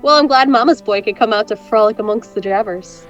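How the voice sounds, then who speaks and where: A woman's voice: a little high, brisk, dull audio, faint music behind, natural voice. A young woman playing a brash, sarcastic, teasing friend in a scripted romantic comedy audio drama. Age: young adult. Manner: sardonic, teasing.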